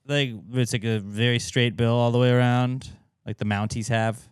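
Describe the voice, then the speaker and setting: A man's voice: medium pitch, level tone, normal speed, smooth, no room sound, studio quality. A man co-hosting a podcast, talking in casual comedic banter with slangy, sweary phrasing. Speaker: podcast co-host.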